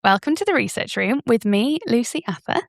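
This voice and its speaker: Bubbly woman podcast host, bright higher voice. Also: expressive, brisk, creaky. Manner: bubbly, laughs often, encouraging. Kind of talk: podcast interview.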